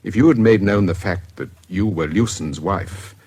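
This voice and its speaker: An actor playing a shrewd, calm British suitor in a radio drama, reasoning persuasively in a measured way. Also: male voice, deep voice, breathy edge. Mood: tender, persuasive. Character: calm, shrewd suitor counselling the woman he loves.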